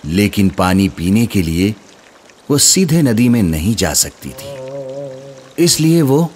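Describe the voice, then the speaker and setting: A man narrating a scripted children's moral story in an expressive storytelling manner. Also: on the low side, slow, a little hiss or hum. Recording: music under the voice.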